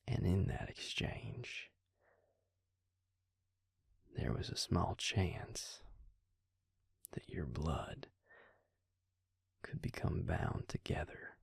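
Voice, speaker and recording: A man playing a patient, grave vampire in an intimate audio roleplay, speaking husky, breathy and low, with hesitant, deliberate pauses. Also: big pitch swings, dry recording, in a whisper, clean studio sound, heavily acted. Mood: grave, explaining. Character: male vampire, patient, grave, quietly explaining lore.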